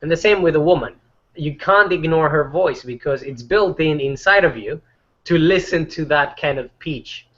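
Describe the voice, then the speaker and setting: A man's voice: moderate pace, fairly bright tone. Rambling man on a lo-fi call. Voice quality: not deep. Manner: rambling, many fillers and restarts, laughs between points. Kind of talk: casual group chat.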